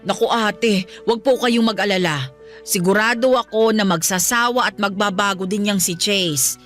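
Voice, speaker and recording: A woman acting a deferential Filipina housekeeper, mid-pitched. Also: moderate pace, a bit bright, faint music behind, lightly acted. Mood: reassuring. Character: deferential, tattling female housekeeper, soothing and chatty. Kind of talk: Filipino radio drama acting.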